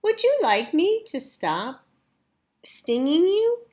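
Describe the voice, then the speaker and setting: A woman's voice: high voice, sing-song, slow, darkish tone, voice pushed up, heavily acted. A woman voicing a cheery, polite storybook otter in a singsong, courteous manner. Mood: polite, calling. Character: cheery, polite otters greeting their new neighbor.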